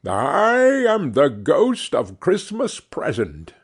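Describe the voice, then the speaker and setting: A reader puts on a jolly male giant spirit, genial and cheery, who gives his orders in a warm, commanding tone. The voice is slow and husky and swings widely in pitch. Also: wildly swooping pitch, heavily acted. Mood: hearty.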